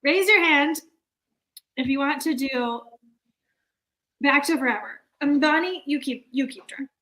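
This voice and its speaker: A woman's voice: high-pitched, unhurried, fairly bright tone, rough recording. A woman playing a tipsy, haughty theater director in a comic staged reading, rambling and repeating herself. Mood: tipsy, bossy.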